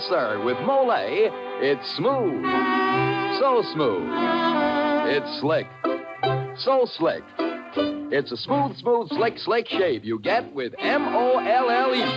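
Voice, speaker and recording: A male 1940s radio announcer with a fairly high, very animated voice. He pitches products emphatically, with faint music and the dull sound of an old broadcast. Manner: emphatic, repetitive salesman delivery. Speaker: radio announcer reading sponsor ads.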